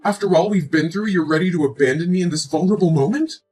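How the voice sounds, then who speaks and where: A woman playing a husky pregnant young woman. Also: fairly low pitch, quick pace, warm tone, soft background music. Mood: hurt. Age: 20s. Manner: emotional, pleading, reproachful. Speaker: pregnant girlfriend. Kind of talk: scripted relationship drama.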